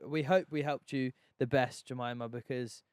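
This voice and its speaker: Laid-back podcast man, smooth voice. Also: medium pitch, fairly even intonation, moderate pace, a little mellow, dry recording, clean studio sound. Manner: laid-back, agreeable, playful. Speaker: podcast co-host. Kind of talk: casual advice chat.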